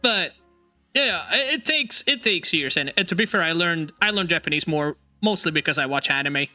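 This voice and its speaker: A man with a high, bright voice hosts a relaxed chat stream and laughs easily between remarks. His English is a second language, and his delivery is animated and teasing. Soft music sits under his voice. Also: normal speed. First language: not English. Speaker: streamer host. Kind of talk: casual chat.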